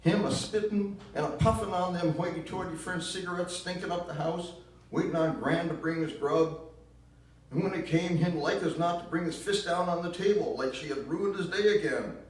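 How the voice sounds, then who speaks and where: A furious middle-aged woman, read aloud by a man, rants in a high, strained voice with a drawl. Her pitch climbs well above a man's usual register. The sound is roomy and heard from afar. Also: very animated, unhurried, pauses often, exaggerated voice. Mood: bitter. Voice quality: loud and shrill, spitting scorn. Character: bitter grieving southern mother, middle-aged woman. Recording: echoey room, mic far off.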